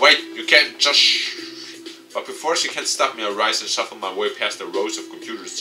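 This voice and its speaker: Low-voiced man, distant mic over music. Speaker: gaming video host. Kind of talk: let's play, reading game text aloud.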